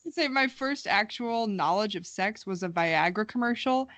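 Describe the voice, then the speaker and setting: A woman's voice: mid-range voice, lively intonation, normal speed, a bit bright, dry recording. A woman in her early twenties chatting casually as a podcast co-host, rambling, self-mocking and giggly. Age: early 20s. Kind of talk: casual chat.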